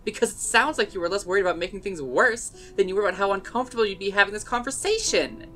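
A woman's voice: medium pitch, fast, a bit bright, lightly acted. A woman playing a caring but hurt, blunt young woman in a fan dub drama, speaking directly and earnestly. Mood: hurt, exasperated.

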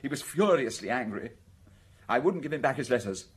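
An actor in a radio drama playing a wretched middle-aged suspect, stammering, evasive and full of self-pity. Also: male voice, medium pitch, lively intonation, fairly quick, husky. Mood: frightened, confessing.

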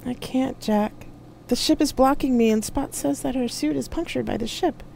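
A woman voicing a gentle, sorrowful, loyal male ship intelligence in a sci-fi audiobook, with noise behind the voice. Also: on the high side, normal speed, gravelly, voice pushed up. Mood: sad, gentle. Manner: gentle, apologetic. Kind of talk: dramatic sci-fi audiobook dialogue.

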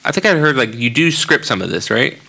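Brief: mid-pitched male host, gravelly and bright, rambling questions. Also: lively intonation, a little fast. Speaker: podcast co-host. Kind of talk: live panel conversation. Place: large hall stage.

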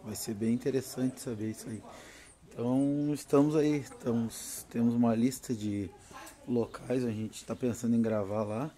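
A man's voice: medium pitch, fairly even intonation, normal speed, mellow. Nervous, chatty southern Brazilian man. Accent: southern Brazilian Portuguese. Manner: chatty, nervous, laughs often. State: tired from no sleep. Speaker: paranormal vlogger. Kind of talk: casual selfie vlog. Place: home porch, then inside a car. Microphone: phone held at arm's length.

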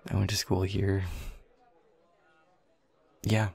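A man playing a shy, creaky-voiced young coworker. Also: deep voice, level tone, takes it slowly, darkish tone, exaggerated voice. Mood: bashful, awkward. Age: young adult. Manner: hesitant, flustered, stammering, nervous chuckles. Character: shy, self-deprecating young man, new office coworker with a crush. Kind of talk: intimate audio roleplay, one side of a conversation.

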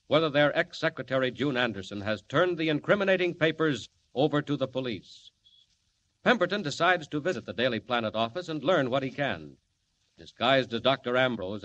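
An actor as a grave, dramatic announcer narrating a 1940s radio serial. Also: male voice, mid-range voice, fairly bright tone. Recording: dull lo-fi old radio recording.

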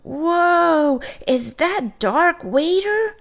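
Woman voicing an excitable high-pitched boy shark. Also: very slow, gravelly, exaggerated voice. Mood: excited. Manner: exclaiming, dramatic delivery. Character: boisterous young boy shark, eager and easily confused. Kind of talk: children's storybook character voice.

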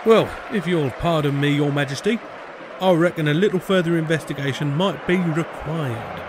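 A man playing a matey, streetwise British commoner with a quiet menace in a comic audio drama. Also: on the high side, moderate pace, lightly acted. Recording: crowd and noise behind.

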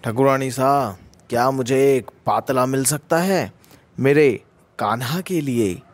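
Guy playing a devout sadhu in a radio play, slow reverent talk, dry sound. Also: mid-range voice, no echo. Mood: reverent, courteous. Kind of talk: radio drama acting.

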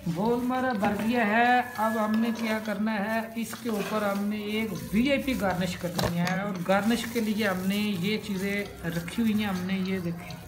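A woman's voice: medium pitch, fairly even intonation, measured pace, few pauses. A woman cooking at home and explaining a recipe step by step, chatty and repeating each step as she goes. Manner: chatty, repeats steps, admiring the food. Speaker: home cook. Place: home kitchen table, indoors. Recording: roomy with loud background noise.

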